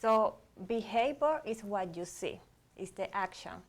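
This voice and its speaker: A woman from Cuba speaks as a guest doctor on a talk show. She lays out her ideas on emotions and behavior step by step in a steady, explanatory way. Her voice sits at an ordinary middle pitch. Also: a little slow.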